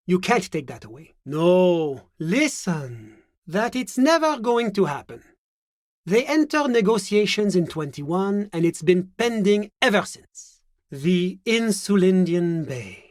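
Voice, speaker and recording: Casting: a genteel, slippery game bureaucrat, smug and coy, very animated pitch, dry close recording. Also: male voice, dry recording, full character voice. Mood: coy, amused, then insistent, evasive. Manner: urbane, coy, condescending, theatrical. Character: suave, evasive male international bureaucrat, smug and genteel. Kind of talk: video game character dialogue.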